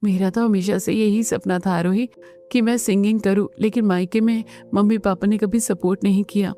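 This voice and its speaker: A woman voicing a gentle, timid young daughter-in-law in a family cartoon, earnest and hesitant. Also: mid-range voice, fairly even intonation, normal speed, faint music behind, lightly acted. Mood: insecure, sad. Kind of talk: Hindi family cartoon dubbing.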